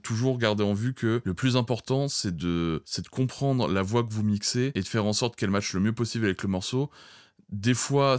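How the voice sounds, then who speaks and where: A man's voice: lowish voice, no room sound. A man teaching audio mixing in an informal, colloquial way, speaking directly to viewers into a close microphone. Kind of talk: informal spoken tutorial.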